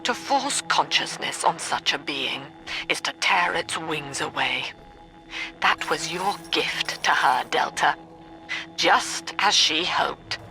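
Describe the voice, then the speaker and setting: An actress playing a cold, middle-aged female cult leader and embittered mother in a video game, speaking in measured, severe, articulate monologues. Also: low-pitched, expressive, vocal fry, sharp tone, faint music behind, heavily acted, low-quality recording. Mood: condemning, grave.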